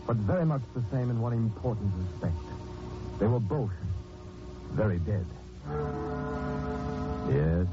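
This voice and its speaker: A man hosting a 1940s radio mystery, narrating in a dramatic, sardonic, theatrical manner. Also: on the low side. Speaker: radio mystery host and announcer. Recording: dull 1940s radio recording with music. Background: music.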